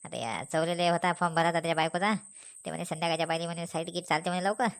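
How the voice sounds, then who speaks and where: A woman's voice: fairly low pitch, racing, clean tone, close to an everyday voice. A woman voicing a roguish old village man in a comic cartoon, chatty and rambling, with a rural Maharashtrian accent. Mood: jokey.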